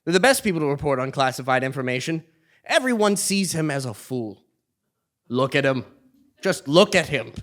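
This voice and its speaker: A man's voice: on the high side, big pitch swings, normal speed, fairly bright tone. A man playing a foolish, cheerful king with pompous, theatrical delivery in a comedic stage play. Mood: gleeful, pompous. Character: foolish, cheerful male king, whimsical and oblivious. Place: theatre stage before live audience. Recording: live theatre stage recording.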